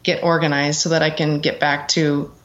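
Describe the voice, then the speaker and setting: A woman's voice: low-pitched, little pitch movement, moderate pace, fairly bright tone. A middle-aged American woman giving earnest, reflective answers as an interview guest in a conversational way. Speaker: interview guest, executive assistant. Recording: dull, lo-fi sound.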